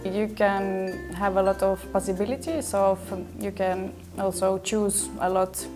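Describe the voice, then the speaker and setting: A woman from Finland with a Finnish accent, a music student answering in a measured, thoughtful way. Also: medium pitch, fairly even intonation. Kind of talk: casual interview answers.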